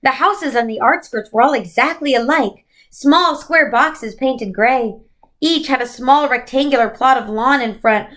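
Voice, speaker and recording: A woman reading a children's novel aloud as expressive storybook narration. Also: high voice.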